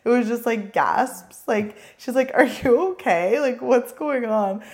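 A woman in her thirties co-hosting a podcast while hoarse from losing her voice, chatting casually and laughing often. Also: fairly high pitch, measured pace, a little mellow. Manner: laughs often, rambling and self-correcting. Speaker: podcast co-host. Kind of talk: casual chat.

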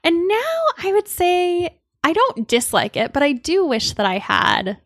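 A woman's voice: very high voice, sing-song, unhurried, fairly bright tone, dry recording, clean studio sound. A young woman from Connecticut co-hosting a podcast, chatting casually and rambling with lots of "like.". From Connecticut, United States. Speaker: podcast co-host. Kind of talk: casual chat.

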